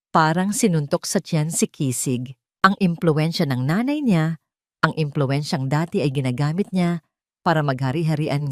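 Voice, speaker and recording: A woman narrating a scripted audio drama in a steady, measured storytelling manner. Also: deep voice, dry recording, clean studio sound.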